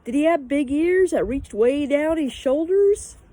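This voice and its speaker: A woman's voice: on the high side, big pitch swings, normal speed, mellow, husky, no room sound, voice pushed up. A woman voicing a brash, playful young girl who tells tall tales in a bold, teasing way for a children's storybook. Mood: loud, shouting.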